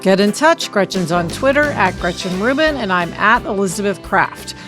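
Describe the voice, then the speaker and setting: A woman co-hosting a podcast in casual chat, speaking calmly in a self-described monotone. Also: medium pitch, moderate pace, music in the background. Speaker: podcast co-host.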